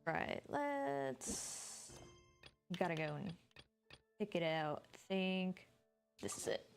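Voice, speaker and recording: A woman's voice: fairly low pitch, big pitch swings, slow, faint music behind. A woman streamer giving informal, rambling live commentary while she plays a video game. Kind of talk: casual live gameplay commentary.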